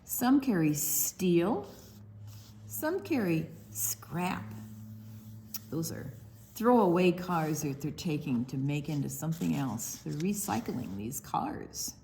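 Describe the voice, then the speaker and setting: An older woman with a slightly low voice reads a picture book to small children. Her intonation swings widely and she takes her time, stretching words and pausing for little explanations. There is faint noise behind her. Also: vocal fry. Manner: expressive, drawn-out reading with explanatory asides. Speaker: storytime reader. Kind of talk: read-aloud picture book storytime for young children with asides.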